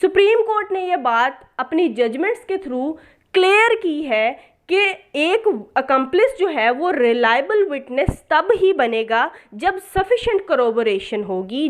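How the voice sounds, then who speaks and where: A woman giving an explanatory law lecture as a teacher, posing questions and answering them, repeating points and mixing in English terms. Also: high voice. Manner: mixes in English legal terms.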